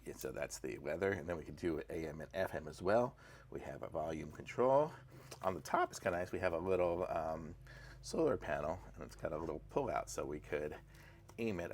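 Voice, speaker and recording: A man's voice: extremely low pitch, a bit bright, a little hiss or hum. A man giving a casual, off-the-cuff unboxing review as the host of a product channel.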